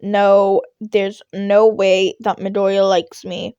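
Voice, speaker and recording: Lady reading as grumpy worn-out guy teacher, deadpan, dry close sound, story podfic. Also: mid-range voice, slow pace, clear, resonant tone, no room sound, dull audio, natural voice. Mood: flat disbelief. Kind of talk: fan fiction audio reading.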